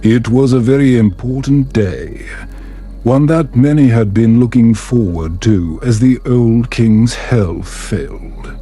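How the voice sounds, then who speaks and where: Slow calm guy reading a bedtime story, really soft dark tone, music behind. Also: mid-range voice. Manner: soothing, measured, unhurried. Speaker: storyteller, narrator.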